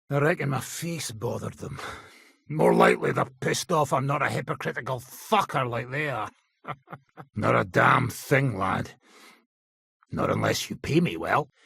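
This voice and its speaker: An actor playing a gruff, middle-aged, foul-mouthed mercenary nobleman in a video game, blunt and cocky, with a raspy, gravelly voice. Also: a little high, fairly bright tone, no echo, studio quality, full character voice. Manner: blunt, swaggering, earthy swearing. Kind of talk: video game character acting. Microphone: close, dry studio microphone.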